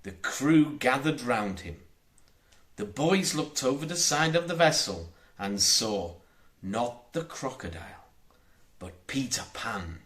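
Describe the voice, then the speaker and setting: A man reading a children's storybook aloud as an audiobook narrator, in a theatrical, expressive way. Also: mid-range voice, takes it slowly. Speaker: audiobook reader.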